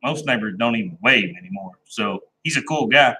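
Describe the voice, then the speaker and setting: A man's voice: medium pitch, lively intonation, brisk, pushed and bright. A man chatting casually with viewers on his live stream, rambling and laid-back, with a folksy, plain-spoken way of talking. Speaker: livestream host chatting with viewers.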